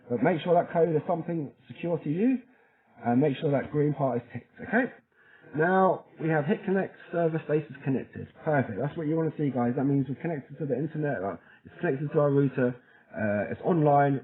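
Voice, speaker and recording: A man presenting a how-to tutorial in an informal, chatty way, often checking in with the listener as he explains each step. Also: fairly high pitch. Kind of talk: casual how-to walkthrough. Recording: thin, phone-like narrow-band audio.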